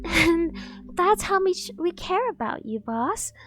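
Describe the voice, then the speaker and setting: A woman acting a high-voiced, obsessive yandere bodyguard. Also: sing-song, slow pace, fairly bright tone, soft background music, exaggerated voice. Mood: clingy, devoted. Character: dorky, obsessively devoted yandere female bodyguard. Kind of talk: ASMR roleplay acting.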